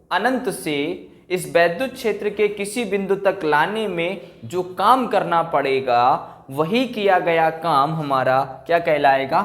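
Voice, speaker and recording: A man's voice: fairly high pitch, fairly bright tone. A man teaching a physics class at a board, explaining and dictating, repeating key phrases and asking check questions. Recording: echoey room.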